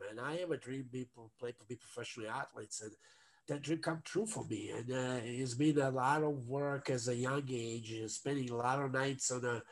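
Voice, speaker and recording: A middle-aged man with a Croatian accent chats casually as an interview guest, halting and repeating words as he searches for phrasing. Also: medium pitch. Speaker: interview guest, actor and former athlete. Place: indoor room, on a video call. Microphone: video call at a computer.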